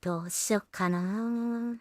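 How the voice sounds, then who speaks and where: A woman's voice: mid-range voice, steady tone, takes it slowly, a little mellow. A woman thinking aloud in short fragments as she works, casually commenting on her creative process as a solo creator. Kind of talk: casual muttering to self while working.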